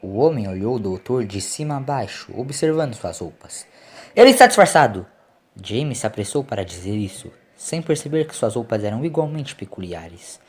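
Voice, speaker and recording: A man's voice: on the low side, lively intonation, normal speed, few pauses, dark tone. A man reads a dramatic scripted story as an audiobook narrator. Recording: clear background noise, ambient sound.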